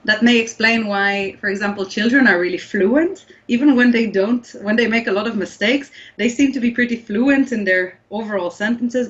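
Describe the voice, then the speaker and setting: Brief: woman, Hebrew native, mid pitch, thoughtful with many restarts, muffled video call sound. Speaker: guest researcher. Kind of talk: explanatory interview answers. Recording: slightly dull lo-fi audio.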